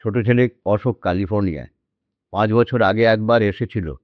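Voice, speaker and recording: A man's voice: on the low side, a little fast, no room sound, close to an everyday voice. An actor playing an anxious, lonely old man in a radio drama, speaking hesitantly and repeating his words. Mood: weary sorrow. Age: older. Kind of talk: Bengali radio drama acting. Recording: dull lo-fi audio with background music.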